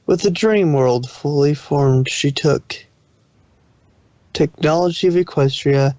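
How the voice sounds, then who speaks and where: A man's voice: a little high, slow pace, a little mellow, no echo, highs cut off. A man narrating a story aloud as a fan fiction reader, occasionally stumbling over the text.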